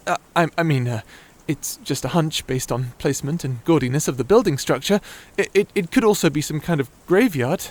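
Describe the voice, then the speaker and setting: Man playing a high-voiced, stammering nerdy wizard. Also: brisk, few pauses, natural voice. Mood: relieved, chatty. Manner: stammering, hesitant, apologetic. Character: shy nerdy young male wizard, anxious, rambling. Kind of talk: character acting in fantasy audio roleplay.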